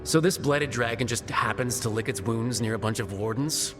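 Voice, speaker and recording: An actor playing a decisive, gruffly caring leader of adventurers in a fantasy video game. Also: male voice, medium pitch, normal speed, music in the background, natural voice. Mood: determined. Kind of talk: dramatic fantasy game dialogue.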